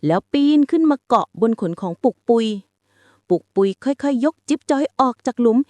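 A woman reading a bedtime story aloud in a slow, soothing way. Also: fairly high pitch, lively intonation, a little mellow, no echo.

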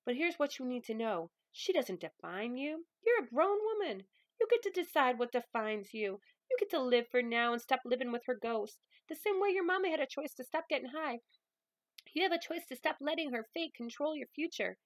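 A stern but loving grandmother, acted by a woman reading from a novel, speaks in a high voice. She is emphatic and folksy, handing out tough love with firm conviction, recorded dry. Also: expressive, normal speed, no echo. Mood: stern, impassioned.

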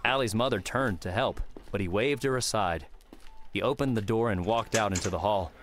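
Low-voiced male audiobook narrator. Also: moderate pace. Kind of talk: scripted story narration.

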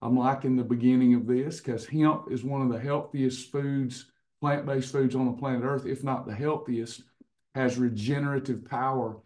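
A hoarse man in his fifties hosting a casual online recipe and wellness class over a webcam, chatty, with frequent restarts. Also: medium pitch, steady tone, moderate pace, darkish tone. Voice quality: slightly hoarse, clears throat. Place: indoors in front of a printed backdrop. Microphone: webcam on a video call.

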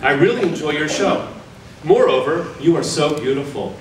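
A man's voice: on the high side, animated, moderate pace, background noise. A middle-aged man giving a theatrical, mock-serious stage reading of letters into a stand mic. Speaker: stage reader. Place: stage in a large hall. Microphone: stand mic at a music stand. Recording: echoey hall from far off.

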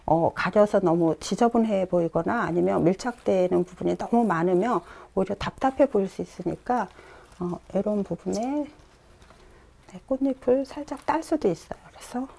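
A middle-aged woman giving a calm flower arranging lesson in a studio, pausing often as she works. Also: medium pitch, vocal fry. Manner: calm instructive, many "eo" pauses while working. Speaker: floral design instructor. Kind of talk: step-by-step demonstration lesson. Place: bright indoor studio.

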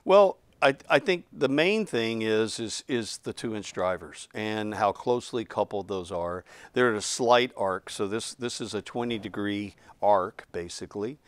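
A man's voice: fairly low pitch, a little slow, few pauses, dry recording. A man in his fifties giving an unscripted technical explanation of a product as its designer. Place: indoor studio set, seated.

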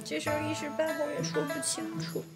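A woman's voice: high-pitched, little pitch movement, fast. A woman voicing a coy teenage concubine who is sweet, wheedling and playfully scheming, in an audio drama. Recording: background music under the voice.

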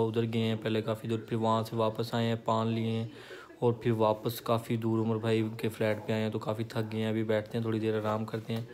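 A man's voice: on the low side, a little mellow. Chatty man vlogging at arm's length. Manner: chatty, walking and talking to a handheld camera. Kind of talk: casual vlog chat. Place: city streets outdoors, then a flat indoors. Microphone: phone camera at arm's length.

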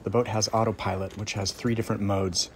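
A middle-aged man narrating his sailing trip in a calm, even, matter-of-fact voice-over. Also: on the low side, fairly quick, background noise.

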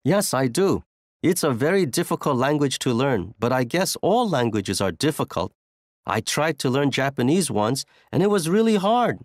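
A man acts as a chatty language learner making scripted small talk. His mid-pitched voice is very expressive, recorded dry. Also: moderate pace, dry recording, close to an everyday voice. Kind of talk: scripted small talk for an English listening exercise.

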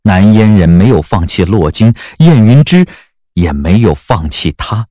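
A man's voice: fairly low pitch, lively intonation, unhurried, warm tone, no room sound. A man narrating a scripted audio drama in a dramatic storytelling style. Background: background music.

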